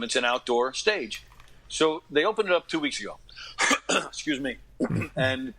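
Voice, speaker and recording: A middle-aged man telling casual anecdotes as an interview guest, acting out what people said and often clearing his throat. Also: medium pitch, rough recording. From New York area, USA. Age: late 40s to 50s. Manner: animated retelling with acted-out quotes and frequent throat-clearing. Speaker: podcast guest, actor and stand-up comic.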